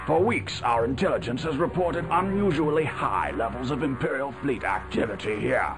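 An actor playing a grave, commanding rebel officer who delivers a measured, formal mission briefing in a video game. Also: male voice, medium pitch, expressive, natural voice. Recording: music behind, 1990s game audio.

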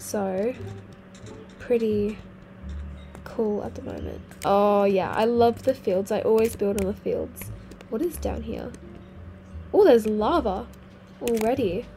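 Croaky teen girl playing a block game. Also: medium pitch, slow, pauses often, mellow. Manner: chatty and easily distracted, exclaiming at finds. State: croaky voice from a cold. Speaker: gaming video host. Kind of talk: casual game commentary. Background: faint game music.

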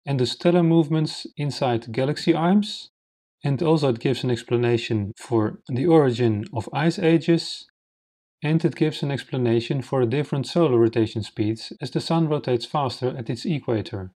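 A man whose native language is Dutch narrates a slideshow lesson, explaining formulas slowly and step by step. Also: medium pitch, dark tone. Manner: slow, methodical, explains step by step. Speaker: science channel host.

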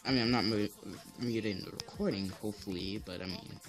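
A teenage boy with a deep voice giving casual gameplay commentary as channel host, rambling with many fillers and restarts. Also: a little fast, music in the background.

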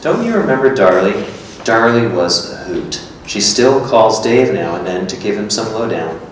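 A man's voice: lowish voice, big pitch swings, normal speed. A man giving a staged reading of a literary two-person script, playing a matter-of-fact, musing character. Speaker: performer reading a scripted dialogue. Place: echoey gallery room with hard walls. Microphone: stand microphone. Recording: echoey room, distant, faint noise.

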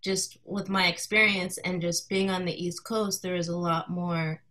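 A woman in her thirties from Colorado speaks informally to a class over a video call. Her voice sits a little low, and she talks softly and hesitantly, with plenty of fillers. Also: level tone, a little slow. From Colorado, USA. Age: 30s. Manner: soft-spoken, hesitant, many fillers. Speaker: guest artist speaking to a class. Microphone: video call computer mic.

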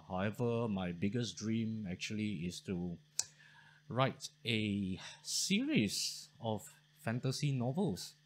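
A man, hosting his history channel in a casual, thankful chat to camera full of fillers and restarts, recorded at home on a studio mic. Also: lowish voice. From Singapore. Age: 40s. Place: home study with bookshelves.

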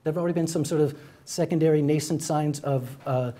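Mellow, halting middle-aged man, economic analyst. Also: a little high. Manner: halting, repeats words as he goes. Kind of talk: panel interview analysis. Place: TV news studio. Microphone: lapel mic.

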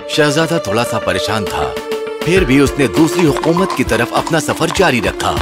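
A male narrator reads a children's fairy tale from a script. His delivery moves widely in melody at a middle pitch, with music playing underneath. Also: very animated.

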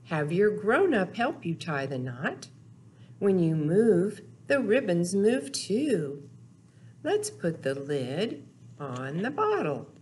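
An older woman in her sixties hosting a storytime for young children, speaking in a deliberate, expressive, simple sing-song teaching way. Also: fairly low pitch. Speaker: children's storytime host at a library. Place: small indoor room, in front of backdrop. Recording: noticeable background noise, clicks of props.

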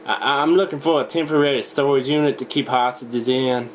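Young man, deadpan prank caller, halting stammer, rambling, average pitch, slightly noisy home webcam recording. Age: young adult. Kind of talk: deadpan prank phone call. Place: small indoor home room.

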